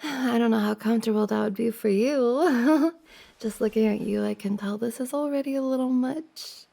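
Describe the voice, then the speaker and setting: A woman playing a giggly, teasing young woman confessing to her crush in an intimate ASMR roleplay, with a creaky voice. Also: fairly high pitch, moderate pace, warm tone. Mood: shy, cosy. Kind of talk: intimate ASMR roleplay, acted as one side of a conversation.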